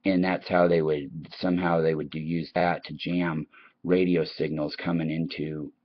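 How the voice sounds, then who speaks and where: A man's voice: extremely low pitch, flat delivery, moderate pace. A man hosting his own video, talking in a musing, unscripted monologue that wanders and halts with trailing asides. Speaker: video host. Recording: dull lo-fi sound.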